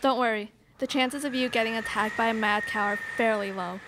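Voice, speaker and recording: A woman's voice: on the high side, steady tone, brisk, lightly acted. A young woman playing a teasing, dramatic college student with a florid delivery in a student radio play. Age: young adult. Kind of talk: student live radio play, read from script. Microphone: stage microphone on stand. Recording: noisy live studio pickup with clicks.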